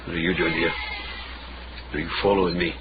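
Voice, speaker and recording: A muffled, very noisy radio drama recording carries an extremely low-pitched investigator, acted by a man. He is gruff and menacing, telling his own tale and confronting others. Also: level tone, fairly quick, natural voice. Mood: guarded menace. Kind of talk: radio drama acting and first-person narration. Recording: muffled, hissy old-radio style recording.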